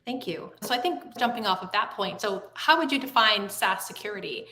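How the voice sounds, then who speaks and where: A woman co-hosting an interview podcast, welcoming the guest and asking slightly halting questions. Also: medium pitch, animated, bright, forceful tone, slight background noise. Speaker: podcast co-host. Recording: echoey room.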